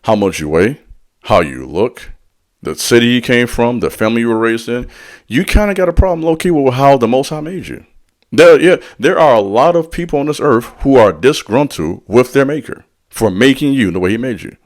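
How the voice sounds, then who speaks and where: A man's voice: mid-range voice, expressive, normal speed. A man talking straight to his audience in a preacherly rhythm. His delivery is slangy and direct. He sprinkles in call-and-response asides as he teaches on spiritual matters. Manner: slangy and direct, addressing the listener. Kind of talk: motivational spiritual talk.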